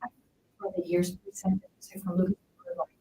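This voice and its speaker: A woman's voice: mid-range voice, sing-song, moderate pace, noisy background. A woman hosting an interview, asking long, trailing questions over a tinny phone-like line. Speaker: show host. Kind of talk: casual interview chat.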